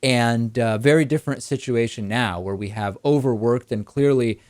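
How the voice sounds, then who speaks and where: A man hosting a political talk show, explaining a news story in a brisk way with rhetorical questions. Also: mid-range voice, darkish tone, no room sound, clean studio sound. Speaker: host.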